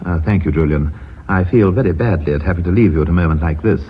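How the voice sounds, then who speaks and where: A man's voice: low-pitched, quick pace, close to an everyday voice. A man acting in a radio drama as a courteous, dignified titled businessman with a formal manner. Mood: grave, courteous. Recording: dull radio recording, faint hiss.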